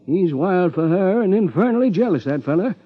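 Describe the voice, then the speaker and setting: A man's voice: high-pitched, animated, brisk. An actor playing a folksy, gossipy rural American lawman with a homespun drawl in a 1950s radio drama. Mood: sly, gossipy. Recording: muffled 1950s radio recording.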